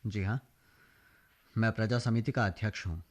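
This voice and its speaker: An actor playing a principled, dignified village teacher in a radio play, earnest and measured. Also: male voice, on the low side, close to an everyday voice. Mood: composed. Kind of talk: radio drama acting.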